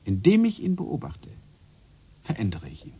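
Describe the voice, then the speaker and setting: A man's voice: mid-range voice, wildly swooping pitch, normal speed, warm tone, breathy edge, heavily acted. An actor playing a brooding man obsessed with physics, musing and explaining as he talks, in a radio play. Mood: thoughtful. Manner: musing, explaining, drifts into whispers. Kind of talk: radio drama acting.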